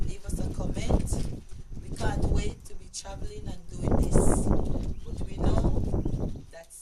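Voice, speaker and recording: A Jamaican woman hosting a live cooking stream in a backyard, chatty and quick to laugh at herself. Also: mid-range voice. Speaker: cooking show host. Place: outdoor backyard kitchen. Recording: distant mic, loud frying noise.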